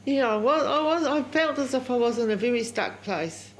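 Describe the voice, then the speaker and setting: A woman speaking openly and emotionally in an interview, halting now and then as she reflects. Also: a little high, expressive, a little hiss or hum. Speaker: project participant. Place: near a park in New Zealand.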